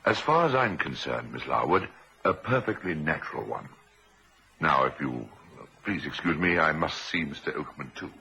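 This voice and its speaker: An actor playing a courteous, uneasy doctor with a hesitant, polite manner in a vintage radio drama, on an old recording. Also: male voice, very deep voice, very animated, moderate pace, pushed and bright, slight background noise, exaggerated voice. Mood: polite, guarded.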